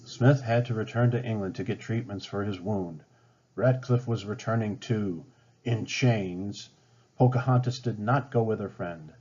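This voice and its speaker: A man with a low, husky voice narrates a children's storybook. His reading is expressive and dramatic, on a slightly dull recording. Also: measured pace. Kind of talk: storybook read-aloud narration. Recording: slightly dull, band-limited sound.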